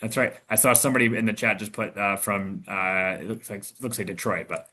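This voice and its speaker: A man from Michigan, an investor answering questions on a video call, talking haltingly with many restarts and fillers. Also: fairly low pitch, fairly even intonation, bright, forceful tone. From Michigan, USA. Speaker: investor guest.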